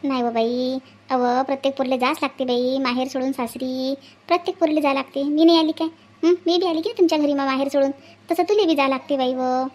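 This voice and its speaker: A woman's voice: high-pitched, steady tone, a little fast, a little mellow, natural voice. A woman voicing a doting, grumbling middle-aged village mother in a cartoon family skit, with a Varhadi Marathi accent. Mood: tender, resigned. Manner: homely, scolding and fussing with rhetorical questions.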